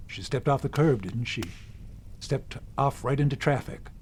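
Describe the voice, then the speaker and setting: An actor playing a bitter, cagey older American father in an audio drama, gruff, folksy and unsentimental. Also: mid-range voice, moderate pace, a little hiss or hum, lightly acted. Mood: bitter, weary.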